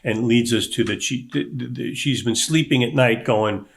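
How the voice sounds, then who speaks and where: A man's voice: medium pitch, gravelly. Male panelist, mid-range voice, conversational, stumbles into sentences with repetitions. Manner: halting, repeats words as he starts. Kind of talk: casual panel discussion.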